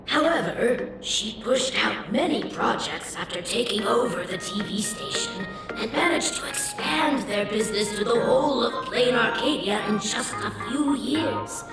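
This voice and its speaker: A woman's voice: on the high side, lively intonation, a little slow, hardly any pauses. An actress playing a sympathetic, gossipy woman in a video game, telling what she knows earnestly. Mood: matter-of-fact. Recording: echoey, distant, loud music behind.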